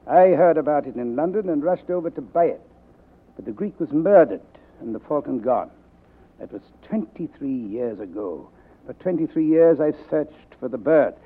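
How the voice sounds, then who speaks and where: An actor playing a suave, greedy fat crime boss with florid, courteous manners in a 1940s radio drama. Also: male voice, fairly high pitch, husky. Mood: covetous, calculating. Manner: florid, formal, courteous address. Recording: tinny vintage 1940s radio recording.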